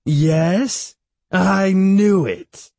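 A man voicing a big, loud old great-aunt in a storybook, teasing and menacing, with bellowing, probing questions. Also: slow pace, no echo. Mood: bellowing, gleeful. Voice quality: deep-throated.

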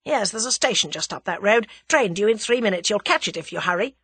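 A young Englishman taking charge, voiced by a woman narrator, curt and commanding. The voice is bright and a little breathy, with lively pitch. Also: a little fast, dry recording.

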